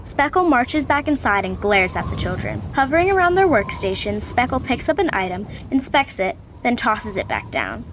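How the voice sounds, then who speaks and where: A woman narrating a radio play with even, descriptive storytelling. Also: on the high side, moderate pace, hardly any pauses, a little hiss or hum.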